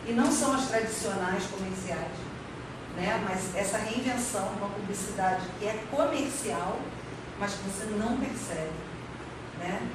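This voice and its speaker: Echoey, distant Brazilian woman lecturing. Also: fairly high pitch. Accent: Brazilian Portuguese. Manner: halting, rephrasing often, seeking agreement with tags. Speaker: professor and researcher presenting her research. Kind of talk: academic presentation, explanatory. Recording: very echoey room, distant mic, clear background noise.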